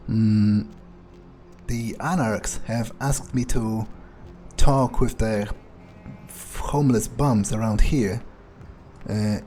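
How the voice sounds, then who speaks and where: A man playing a smug, cynical vampire in a game roleplay, thinking aloud in a halting, sardonic way. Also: on the low side, mellow. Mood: disdainful. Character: smug cynical male vampire, talking to himself. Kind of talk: in-character gameplay roleplay, improvised muttering. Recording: game music behind. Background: video game music.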